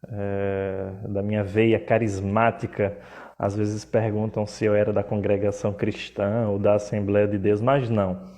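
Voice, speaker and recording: Slow, soft-toned Pernambuco-accented priest. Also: male voice, on the low side. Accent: Pernambuco Portuguese. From Pernambuco, Brazil. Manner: slow, measured, with frequent hesitations. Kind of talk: livestream talk.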